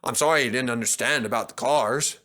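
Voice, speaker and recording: A large diesel engine from a storybook speaks in a gruff, put-upon voice, played by a man reading aloud. Underneath the grumbling he is a good sort. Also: mid-range voice, a little fast, sharp tone, close to an everyday voice. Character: big diesel engine, huffy then friendly.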